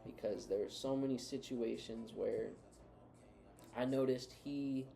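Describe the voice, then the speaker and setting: Earnest male co-host with a gravelly, warm voice; reflective, advising. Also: mid-range voice, steady tone, a little slow. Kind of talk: reflective casual talk.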